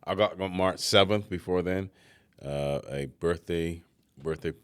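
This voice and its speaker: Laid-back deep-voiced man co-hosting. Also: unhurried, clear, resonant tone. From Barbados. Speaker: podcast co-host, comedian. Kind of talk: casual podcast chat. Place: studio.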